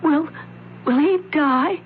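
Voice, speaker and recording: A woman's voice: high voice, takes it slowly, soft background music. A woman playing an anxious, accusing young heiress, emotional and insistent, in an old-time radio drama. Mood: shaken, pleading. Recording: thin, noisy phone-like vintage radio recording.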